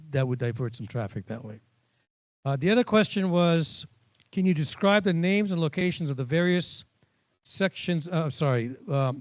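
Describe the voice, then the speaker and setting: A man's voice: a little high, a little mellow. A man moderating a town hall meeting, reading out questions and making wry asides.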